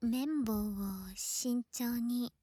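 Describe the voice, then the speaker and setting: A woman playing an affectionate, teasing young girl best friend in an ASMR roleplay, playful and breathy, often slipping into whispers close to the microphone. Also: a little high, drawn-out words, mellow, no room sound. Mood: gentle soothing. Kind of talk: Japanese ASMR situation voice drama, close intimate roleplay. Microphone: close ear-to-ear ASMR microphone.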